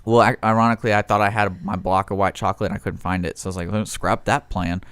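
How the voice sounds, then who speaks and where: American man, low voice, chatty radio co-host, rambling storyteller, dry close studio sound. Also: steady tone, fast, fairly bright tone, clean tone, no echo. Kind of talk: casual radio banter. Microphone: close studio microphone.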